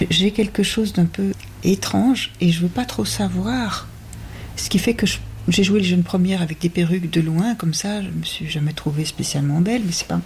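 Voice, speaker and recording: A woman's voice: fairly low pitch, creaky, darkish tone, slight background noise. A woman in her fifties, an actress, speaking thoughtfully and wryly about her career in an interview. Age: 50s. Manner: thoughtful, measured, wry. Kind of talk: reflective interview talk.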